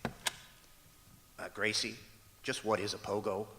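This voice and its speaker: A man playing a wry, long-suffering husband, the hesitant deadpan straight man in an old-time radio comedy on stage. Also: fairly low pitch, background noise. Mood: explaining, deadpan. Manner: deadpan, halting with hesitant uhs. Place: performing arts center auditorium, live stage. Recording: echoey auditorium stage hall.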